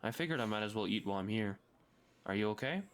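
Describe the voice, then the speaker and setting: A man's voice: fairly low pitch, animated, quick pace, background noise. A man playing a patient, concerned young man in a comic dub. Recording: clattering dishes and cutlery behind.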